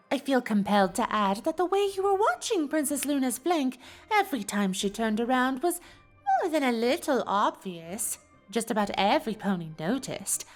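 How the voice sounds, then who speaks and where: A refined female cartoon pony, full of teasing gossip and genteel theatrics. The voice sits high and swings widely in pitch, drawing out phrases, with music behind it. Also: big pitch swings, normal speed. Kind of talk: fan fiction audio drama acting.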